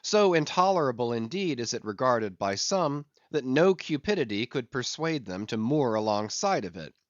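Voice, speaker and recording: A man narrates a classic literary audiobook in a medium-pitched voice with very mobile intonation. His phrasing is deliberate and a little theatrical, suited to ornate prose. The recording is dry and close, though somewhat dull and lo-fi. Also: sing-song, dry recording. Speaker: audiobook reader.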